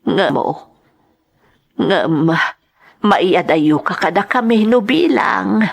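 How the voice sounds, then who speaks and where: A woman playing a gentle, worried mother of a grown son in a radio drama, tender and hesitant. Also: mid-range voice, expressive, slow, gravelly, exaggerated voice. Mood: sad, tender. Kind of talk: Ilocano radio-drama acting.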